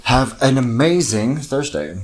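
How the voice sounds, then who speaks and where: A young man whose first language is Afrikaans, hosting a solo podcast, talking in a halting, anxious, self-reflective way with many ums and restarts. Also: mid-range voice, sing-song, slight background noise. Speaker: podcast host. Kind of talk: solo personal podcast rant.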